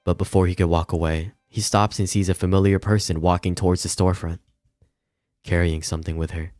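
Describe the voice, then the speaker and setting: A man's voice: low-pitched, level tone, brisk, a little mellow, no echo, clean studio sound. A man streaming a visual novel, reading the narration aloud with casual asides and some stumbles over the words. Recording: music behind the voice.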